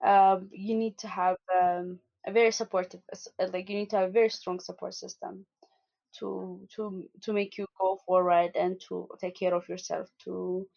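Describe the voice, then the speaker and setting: Young woman activist, earnest interview answers full of restarts, mid-pitched, slightly muffled lo-fi audio. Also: flat delivery, normal speed, gravelly, darkish tone. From Ethiopia. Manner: earnest, with many restarts and fillers. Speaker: activist guest.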